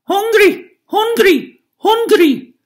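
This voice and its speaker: A man's voice: very high voice, expressive, takes it slowly, voice pushed up, full character voice. A man voicing a huge giant baby who squeals greedily for food. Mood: squealing.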